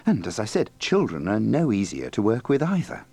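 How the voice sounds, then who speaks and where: A man's voice: fairly low pitch, huge pitch swings, fairly quick, gravelly, dark tone, breathy edge. A man hosting a radio blooper compilation, delivering scripted links in a dry, wry way.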